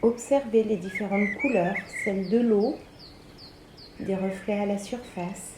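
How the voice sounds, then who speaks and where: A woman leading a guided yoga relaxation as instructor, speaking in soothing, measured phrases with pauses. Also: medium pitch. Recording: echoey room, background noise, birdsong.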